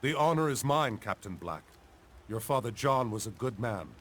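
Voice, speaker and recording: Dignified mid-voiced general. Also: male voice, medium pitch, expressive, normal speed, a bit bright. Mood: gracious. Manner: formal, dignified. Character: dignified, gracious commanding general of colonial army. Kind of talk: video game cutscene acting.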